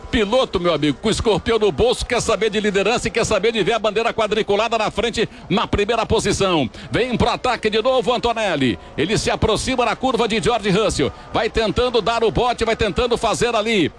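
A man with a Brazilian Portuguese accent giving live radio race commentary, with fast, excited play-by-play and some stammered repeats. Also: high-pitched, a little hiss or hum.

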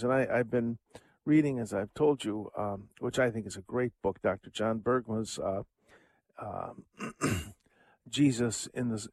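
A man's voice: fairly low pitch, a little mellow, no echo. An older man hosting a radio show, giving a casual, rambling commentary on scripture with chuckling asides and many fillers. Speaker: radio host.

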